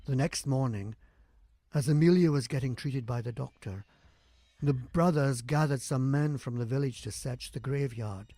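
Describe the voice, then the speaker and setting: A man's voice: mid-range voice, moderate pace, mellow, no echo. A man narrating a scripted gothic horror tale in a theatrical, suspenseful storytelling manner with swelling emphasis. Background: music.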